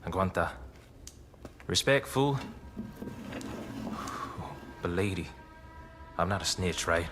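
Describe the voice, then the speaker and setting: An actor playing a cocky, streetwise cartel boss with a Latin American Spanish accent in a video game, slangy and macho. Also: male voice, sits low, expressive, slow, long pauses, fairly bright tone, full character voice. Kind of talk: video game cutscene acting.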